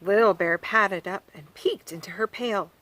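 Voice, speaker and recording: A woman reading a children's picture book aloud on a farm, gently pausing to point out the pictures to young listeners. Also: mid-range voice, poor audio. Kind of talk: storybook read-aloud for children.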